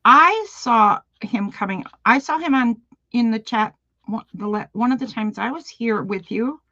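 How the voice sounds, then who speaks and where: A woman's voice: fairly high pitch, a little slow, bright, forceful tone, no echo, highs cut off. A woman chatting as a guest on a craft livestream, curious and gushing, laughing often. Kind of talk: casual chat.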